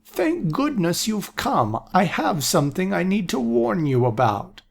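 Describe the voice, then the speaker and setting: A doddering old seer who loses track of his thoughts, pitched well above the narrator's own voice. His rasp is gravelly and husky, and his words come out slowly and vaguely. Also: big pitch swings, heavily acted. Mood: muddled. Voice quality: raspy. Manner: slow, vague, repeats himself. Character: doddering old male seer, confused and forgetful. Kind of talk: audiobook character voice.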